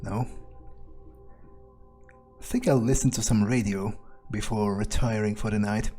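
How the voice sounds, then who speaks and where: A man's voice: on the low side, fairly even intonation, a little slow, dark tone, faint music behind. A man voicing a cynical, arrogant, foul-mouthed vampire in an in-character video game playthrough, musing and darkly amused.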